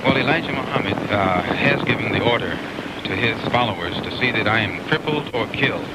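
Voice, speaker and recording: A man with a fairly low voice makes a statement in a news interview. The old newsreel recording carries very heavy noise around him. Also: expressive, a little fast.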